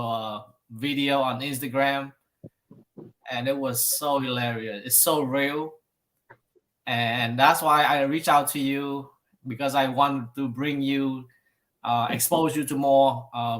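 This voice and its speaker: Halting man hosting an interview. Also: medium pitch, fairly bright tone. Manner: halting, many fillers. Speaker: podcast host.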